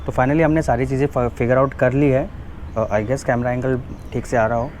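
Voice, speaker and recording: A man's voice: mid-range voice, steady tone, moderate pace, a little mellow, a little hiss or hum. A man with an Indian accent giving a casual, informal hands-on product walkthrough, mixing in English phrases. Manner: informal, switches between Hindi and English. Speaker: motovlogger presenting a product. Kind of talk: casual unboxing and installation walkthrough.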